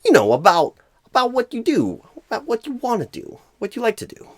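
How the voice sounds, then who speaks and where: A man voicing a clueless, flustered job recruiter in an ASMR roleplay, rambling with odd pauses mid-sentence. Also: very high-pitched, wildly swooping pitch, dry recording, studio quality, heavily acted. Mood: fussy, rambling. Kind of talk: ASMR roleplay, comic improvised monologue.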